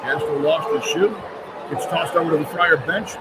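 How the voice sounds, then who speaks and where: A man's voice: on the high side, moderate pace. A man doing live radio play-by-play of a basketball game in clipped running phrases, with punchy exclamations when shots score. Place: courtside in a large indoor basketball arena. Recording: very loud arena crowd and noise behind. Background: roaring crowd, arena noise.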